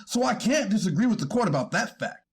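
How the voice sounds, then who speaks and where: A man playing a deferential defense attorney in a courtroom readers theater, formal and hesitant when challenged, with a husky voice. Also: high voice, big pitch swings, fast talker, full character voice. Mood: hesitant, conceding. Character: deferential male defense attorney pleading for his client. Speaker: actor reading a defense attorney.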